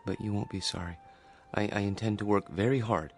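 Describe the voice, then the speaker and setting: An actor playing a mild, polite grown son who is eager to please, speaking gently and earnestly in a radio drama. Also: male voice, on the low side, brisk, faint music behind, close to an everyday voice. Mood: polite, agreeable. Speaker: actor playing a discharged patient.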